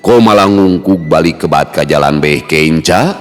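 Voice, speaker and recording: A man narrating a scripted folk tale as a storyteller, measured and dramatic. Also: rumbling low. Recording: long reverb, music bed.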